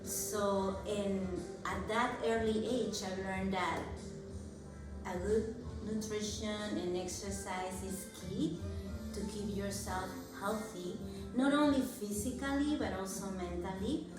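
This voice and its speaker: A woman's voice: mid-range voice, fairly even intonation, slow, music in the background. Earnest woman from Peru, echoey room. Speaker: wellness coach. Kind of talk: conversational explaining. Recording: very echoey room, far from mic.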